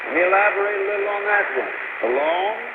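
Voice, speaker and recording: A man's voice: high-pitched, fairly quick. A man preaching a sermon in emphatic, rhetorical phrases, heard over a shortwave radio. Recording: roomy, very noisy narrow shortwave reception.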